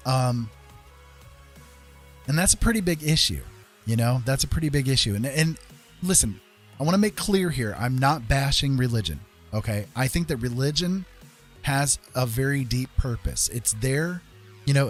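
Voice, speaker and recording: Emphatic man livestreaming over music. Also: medium pitch. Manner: emphatic, conversational, often checking with "right?" and "okay?". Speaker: livestream host. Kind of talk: casual opinionated livestream talk.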